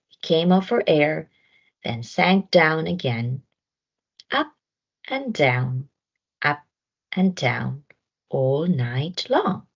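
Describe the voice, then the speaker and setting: A woman reading a children's story aloud in an expressive narration style, on a slightly dull recording. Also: low voice, vocal fry, slightly rough. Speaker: storybook reader.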